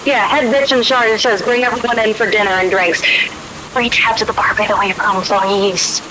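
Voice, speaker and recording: A woman's voice: medium pitch, normal speed, lightly acted. A woman playing a blunt, casual park colleague calling in, in a tabletop game. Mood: brisk. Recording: steady rain-like background noise.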